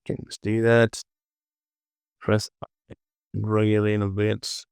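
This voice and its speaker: Guy doing a casual screen tutorial, real slow, creaky voice, kinda mutters short steps as he works. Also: fairly low pitch, monotone, a little mellow, no echo, clean studio sound. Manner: informal, muttered short instructions to self and viewer. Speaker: tutorial instructor. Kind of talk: casual screen-recorded software tutorial, talking while working.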